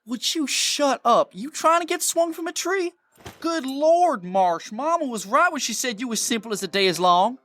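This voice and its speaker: Man playing an irritable, animated western bandit. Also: very high-pitched, normal speed, slight background noise, exaggerated voice. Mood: scornful, annoyed. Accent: rural American. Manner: snappy, scornful, drawling. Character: irritable scheming bandit, bossy elder brother. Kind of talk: western audio drama acting. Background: music.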